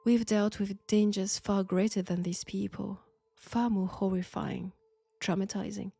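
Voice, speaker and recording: A woman with a French accent playing a sinister, flirtatious archaeology professor possessed by an ancient god, deliberate and teasing in an intimate audio roleplay. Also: medium pitch, fairly even intonation, vocal fry, dark tone, breathy edge, no room sound, faint music behind. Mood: grave, protective. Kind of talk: slow, intimate roleplay acting.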